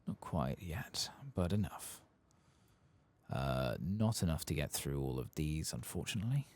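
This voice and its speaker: A man giving soft-spoken ASMR commentary over a video game, murmuring and sometimes slipping toward a whisper. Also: very deep voice, lively intonation, unhurried, no echo. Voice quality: soft, husky, gravelly. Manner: murmuring to himself, partly whispering.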